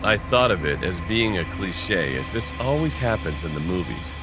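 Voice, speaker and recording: A male narrator reads a scripted horror story in the first person. His pitch sits a little low but moves in a very lively way. Faint music plays behind him. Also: moderate pace.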